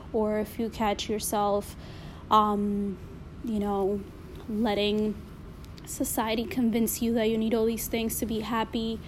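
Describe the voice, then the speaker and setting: A woman's voice: mid-range voice, a little mellow, a little hiss or hum. A woman with an accent, talking casually and reflectively to listeners, full of fillers and frequent swearing. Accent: non-native accent.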